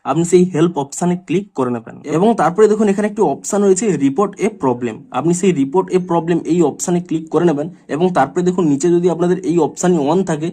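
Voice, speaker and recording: A man's voice: a little high, mellow. A man narrating a step-by-step tutorial as a tech YouTuber, running instructions together in long chained sentences.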